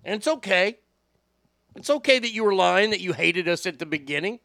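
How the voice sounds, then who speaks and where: High-voiced, gravelly, bright radio man. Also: brisk, no echo, clean studio sound. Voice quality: high voice without much bass. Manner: profane, animated storytelling with quoted voices. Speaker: radio host. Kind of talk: casual storytelling podcast.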